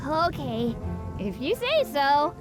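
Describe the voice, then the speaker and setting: A woman voicing a sweet, innocent little boy with gentle concern in a video game. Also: sits high, sing-song, slow, heavily acted. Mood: trusting. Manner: childlike, innocent delivery. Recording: game music behind the voice. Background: game background music.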